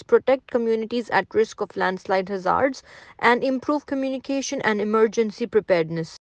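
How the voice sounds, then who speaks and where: A woman reads scripted informational passages aloud in a measured, formal way as a model narrator. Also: fairly high pitch, no echo.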